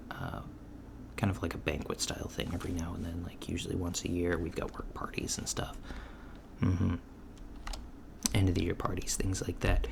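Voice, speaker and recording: A man playing an easygoing, reassuring alien job interviewer in a soft-spoken ASMR roleplay, talking casually and haltingly and trailing off. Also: deep voice. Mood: relaxed, hesitant. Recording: lo-fi with clear background noise. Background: computer keyboard typing.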